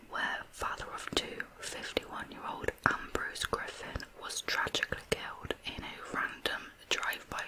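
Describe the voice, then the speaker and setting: An ASMR host narrating true crime in a hushed, unvoiced, breathy whisper with deliberate phrasing. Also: low-quality recording. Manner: slow, deliberate, hushed storytelling.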